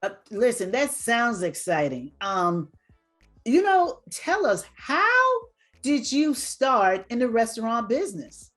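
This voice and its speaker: A woman's voice: a little high, big pitch swings, slow, fairly bright tone, soft background music. Upbeat motivational woman hosting a show. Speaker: podcast host. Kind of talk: hosted interview show.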